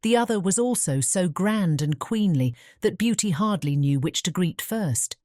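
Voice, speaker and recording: A woman narrating a scripted fairy tale audiobook as a measured storyteller. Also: fairly low pitch, animated, darkish tone, dry recording, studio quality.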